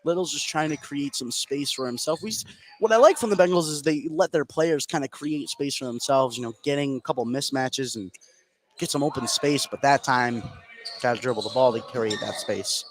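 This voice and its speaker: A man's voice: mid-range voice, moderate pace. An American man giving live basketball analysis as a radio color commentator. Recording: noisy gym broadcast.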